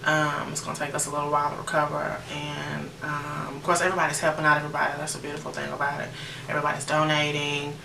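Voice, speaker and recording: A woman's voice: deep voice, completely flat delivery, quick pace, gravelly. An American woman in her late thirties chatting casually to viewers as she narrates a makeup tutorial in an informal way. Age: late 30s. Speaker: beauty vlogger. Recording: roomy, distant mic with noticeable background noise.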